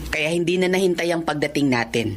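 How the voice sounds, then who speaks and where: An actress playing a good-natured, chatty aunt and cousin in a radio drama, animated and reassuring. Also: female voice, low-pitched, fast, natural voice.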